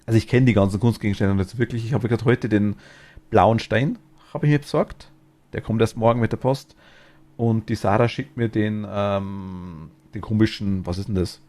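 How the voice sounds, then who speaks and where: A man's voice: on the low side, steady tone, moderate pace, a little mellow. A man with a Bavarian accent chatting casually and enthusiastically while streaming a game, laughing easily.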